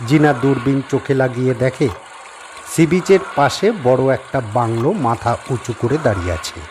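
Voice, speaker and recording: A man narrating a horror audio story and its credits in a calm, measured storytelling manner. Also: mid-range voice, background noise. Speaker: narrator and director.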